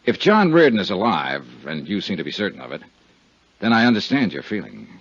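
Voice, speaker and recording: An actor playing a world-weary insurance investigator in a 1950s radio drama, blunt, wry and clipped. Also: male voice, low-pitched, sing-song, fairly quick, slightly rough, exaggerated voice. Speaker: lead actor. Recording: dull 1950s radio recording, music behind.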